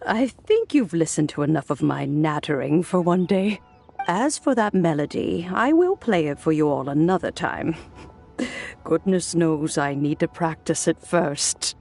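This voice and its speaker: Low, slow, wise old lady. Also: lively intonation, creaky, full character voice. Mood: warm, modest. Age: older. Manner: measured, reflective, gently self-deprecating. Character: wise, gentle elderly woman, an immortal, wistful and modest. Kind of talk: video game character acting. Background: faint music.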